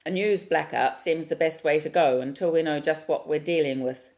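A woman voicing a calm, official male police officer who speaks briskly and reassuringly. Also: low voice.

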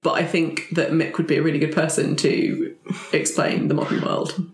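A woman's voice: low voice, little pitch movement, moderate pace. A woman chatting casually as a player in a tabletop roleplaying group. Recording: roomy, echoey sound.

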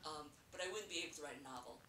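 Soft-voiced woman, distant and roomy. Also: sits low, rapid-fire. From Korea. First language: Korean. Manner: hesitant, many fillers. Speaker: podcast guest. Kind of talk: casual interview. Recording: roomy, distant mic with noise.

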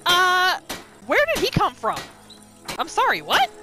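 A woman's voice: very high-pitched, wildly swooping pitch, a little slow. A woman hosting a gaming video, giving reactive roleplay commentary over gameplay. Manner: reactive, narrates her character in third person. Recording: faint game music behind.

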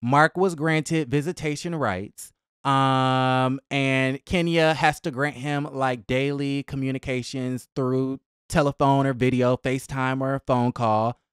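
A young man talks as a casual gossip commentator with a smooth, clear voice at a medium pitch. His delivery is sassy and emphatic, full of conversational slang. He sits close to a podcast mic, with a dry, tight room sound. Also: fairly even intonation, a little slow, no room sound. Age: young adult. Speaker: host of a celebrity gossip commentary channel. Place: small indoor room at home. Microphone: close boom-arm podcast microphone.

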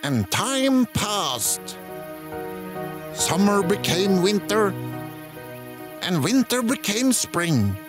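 A man narrating a fairy tale in a slow, measured storybook style. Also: high voice, sing-song, over music.

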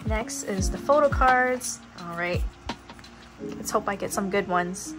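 A woman's voice: medium pitch, unhurried. Young woman vlogging casually over music. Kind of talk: casual lifestyle vlog chat. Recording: background music under vlog audio.

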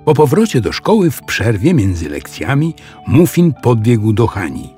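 A man's voice: medium pitch, wildly swooping pitch, normal speed, dark tone, faint music behind. A man narrating a children's audio story as a scripted storyteller.

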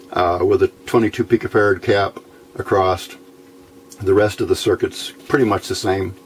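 A man giving an informal, unscripted hands-on tutorial in a plain-spoken, halting way, recorded indoors at a workbench on a camera mic. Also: fairly low pitch, darkish tone, slight background noise. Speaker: host of a hands-on electronics tutorial.

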